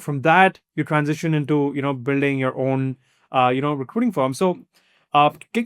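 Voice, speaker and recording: A man's voice: mid-range voice, smooth, no room sound, studio quality. A man hosting a podcast interview, asking long, loosely worded questions in a casual tone. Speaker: podcast host.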